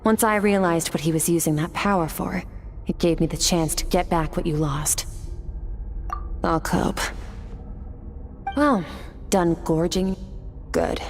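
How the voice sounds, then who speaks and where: An actress playing a cool, aloof female warrior mentor in a video game, speaking calmly and coldly. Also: lowish voice, soft background music. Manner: calm, cold, measured.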